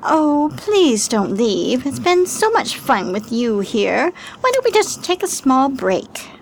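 A woman's voice: sits high, very animated, slow pace, a little hiss or hum, heavily acted. A woman playing a chic, coaxing gambling-parlor hostess in a 1920s period radio drama, gracious and sugary.

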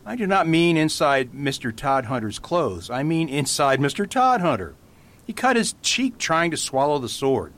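A man playing a gentle, shrewd priest detective in a radio mystery, patiently explaining with amusement. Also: sing-song, moderate pace. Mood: matter-of-fact. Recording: faint rumbling background noise.

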